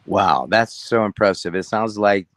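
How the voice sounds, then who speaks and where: A man's voice: lowish voice, measured pace, fairly bright tone, no room sound. A man hosting a podcast interview, encouraging his guest and affirming what she says before he asks his questions. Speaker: podcast host.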